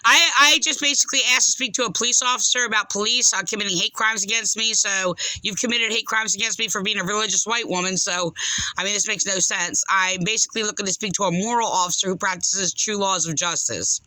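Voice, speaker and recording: A woman caller making a complaint in unscripted speech, aggrieved and insistent, halting and rambling as she goes. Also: medium pitch, bright, forceful tone, no room sound, poor audio.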